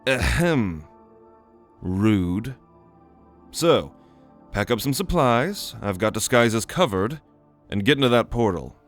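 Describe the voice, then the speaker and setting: Lively low-voiced man, dry and lecturing. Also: slow pace, long pauses, faint music behind. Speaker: narrator and teacher figure. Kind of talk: ASMR audio drama roleplay.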